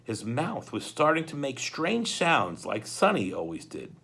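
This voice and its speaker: A man reading a children's book aloud in a dramatic, expressive way, occasionally stumbling over words. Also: mid-range voice, measured pace, vocal fry, slightly rough. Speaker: storybook reader, school principal.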